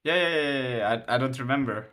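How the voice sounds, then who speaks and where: A man's voice: mid-range voice, fast talker, a bit bright. Young man, Finland-Swedish accent, native Swedish, loose rambling streamer delivery. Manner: rambling, restarts often, laughs a lot. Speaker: game developer streaming. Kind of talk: casual live-stream chat while coding.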